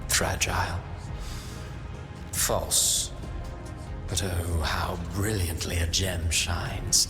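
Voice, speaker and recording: An actor playing a brooding, ominous villain in a video game, reciting poetic monologues in a grandiose, deliberate way. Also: male voice, deep voice, flat delivery, music in the background. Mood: mocking, ominous.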